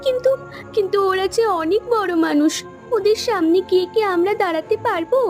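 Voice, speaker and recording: A woman acting as a jilted young girlfriend in a cartoon tale. Her voice is extremely high, wounded and plaintive, with halting delivery. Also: darkish tone, faint music behind. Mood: hesitant. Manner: plaintive, hesitant. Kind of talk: Bengali cartoon story acting.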